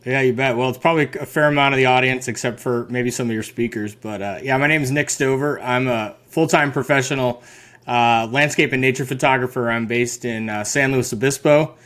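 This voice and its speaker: A man in his forties from Colorado, a podcast guest telling his story in relaxed, casual chat. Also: medium pitch, fairly quick. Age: 40s. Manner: relaxed, many "uh" fillers, self-deprecating.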